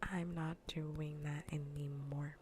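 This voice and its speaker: A woman streamer chatting casually in a soft, breathy whisper on an ASMR gaming livestream, rambling and repeating phrases, close on the microphone. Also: sits low, flat delivery, takes it slowly.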